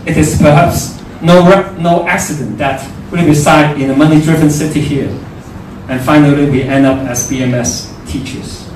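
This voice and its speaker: A man's voice: fairly high pitch, fairly even intonation, normal speed, a little mellow. A man with a Hong Kong accent giving a prepared, formal speech at a forum. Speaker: forum speaker. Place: large echoey indoor room at a forum. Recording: very echoey room, distant mic, faint noise.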